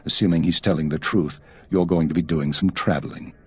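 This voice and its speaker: An actor playing a stern intelligence chief in a video game, with a husky voice and a clipped, authoritative, measured delivery. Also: male voice, low voice, steady tone, no echo. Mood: grave, commanding. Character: stern male spymaster, commanding and coolly measured.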